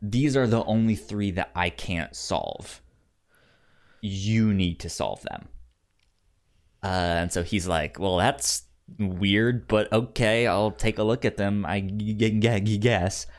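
A man's voice: on the low side, slow. A man hosting a casual film podcast, who stammers and often repeats the beginnings of words. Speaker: podcast host.

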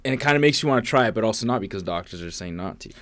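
A man's voice: on the low side, lively intonation, racing, a bit bright, no echo. A man hosting a podcast in casual, joking and profane chat. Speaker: podcast host.

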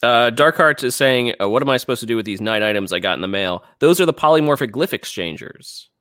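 A man's voice: medium pitch, expressive, quick pace, a bit bright, no room sound, studio quality. A man co-hosting a casual gaming chat in an easygoing, agreeable way. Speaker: talk show co-host.

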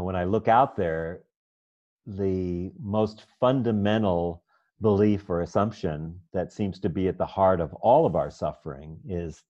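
Deep-voiced man hosting, thoughtful and unhurried. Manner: thoughtful, warm laughs, drawn-out questions. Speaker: host. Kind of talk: conversational interview. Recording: dull lo-fi audio.

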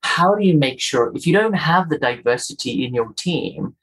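A man's voice: a little high, lively intonation, normal speed, fairly bright tone, breathy edge, rough recording. A man from Kenya, a guest in an interview, explaining his ideas earnestly, often in lists of rhetorical questions. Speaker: guest, culture and inclusion executive.

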